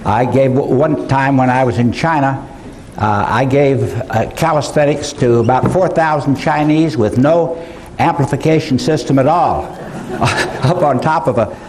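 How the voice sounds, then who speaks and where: Slow, halting older man lecturing. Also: medium pitch, darkish tone. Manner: slow, halting delivery with frequent fillers. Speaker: conference speaker. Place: conference hall.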